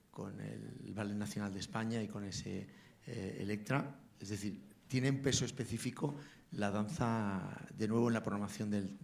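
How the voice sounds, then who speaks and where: A man's voice: on the low side, gravelly, a little mellow, a little hiss or hum. A man at a press conference asking a hesitant question. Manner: hesitant, repeats words.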